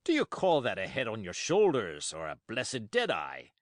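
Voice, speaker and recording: A man voicing a hearty, cunning one-legged sea cook who talks in a bluff, booming pirate manner. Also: huge pitch swings, normal speed, no room sound, studio quality. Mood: blustering.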